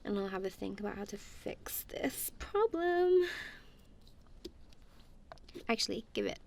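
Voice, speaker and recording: Playful, creaky-voiced woman in slow ASMR chat. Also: mid-range voice, sing-song. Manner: playful, giggly, self-deprecating, apologetic. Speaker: ASMR roleplay performer. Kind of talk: goofy, friendly ASMR roleplay, casual one-sided chat.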